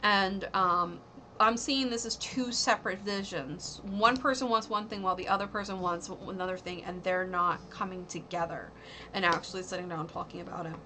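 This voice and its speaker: Woman, 30s, bright voice, loose chatty tarot reader, lots of ums, faint background hiss. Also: on the low side, steady tone, normal speed. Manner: rambling, full of ums and likes. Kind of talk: casual livestream tarot reading.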